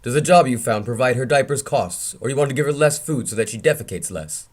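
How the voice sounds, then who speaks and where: Man playing a gruff, frustrated bus-driver uncle. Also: mid-range voice, animated, quick pace, natural voice. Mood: scornful. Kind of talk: screenplay table read.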